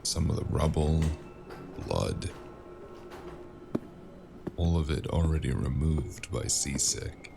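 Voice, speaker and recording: A man playing a weary, wisecracking noir investigator in an audio drama, dry and sardonic, with a gravelly voice and an unhurried delivery. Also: a bass voice, animated, background noise, exaggerated voice. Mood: brooding, narrating. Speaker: narrator and lead character.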